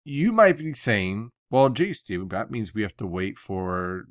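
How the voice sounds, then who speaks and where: A man's voice: on the low side, lively intonation, measured pace, no room sound, clean studio sound. An American man giving an unscripted weather briefing as forecaster and host, conversational as he explains technical detail step by step.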